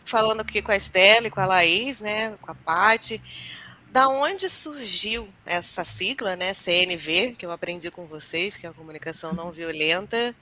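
Bright-voiced woman with a cold. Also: medium pitch, moderate pace. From Rio de Janeiro, Brazil. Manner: chatty, welcoming, talks to the audience directly. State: has a cold. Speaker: host. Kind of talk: casual live show hosting. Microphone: video call.

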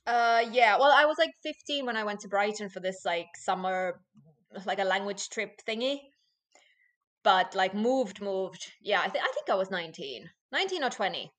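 A woman in her late twenties, a podcast guest talking loudly and with animation in a casual interview, often laughing. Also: mid-range voice, expressive, moderate pace. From Finland. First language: Finnish.

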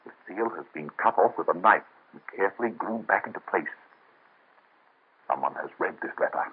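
An actor playing an earnest, anguished young physician in an old radio drama. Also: male voice, sits low, fairly quick, breathy edge. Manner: earnest, melodramatic. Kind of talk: 1930s radio drama acting. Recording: tinny old radio recording.

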